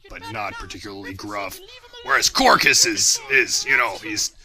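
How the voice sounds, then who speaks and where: A man's voice: fairly low pitch, moderate pace. A young man giving a casual, rambling and self-deprecating commentary on his own work. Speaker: creator of a fan parody series, commentator.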